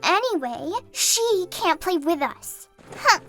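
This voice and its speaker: A woman's voice: very high voice, very animated, takes it slowly, bright, forceful tone, faint music behind, heavily acted. A woman voicing a snobby, sneering young female deer filly who bosses others around, for a fan comic dub. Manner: sneering, bossy.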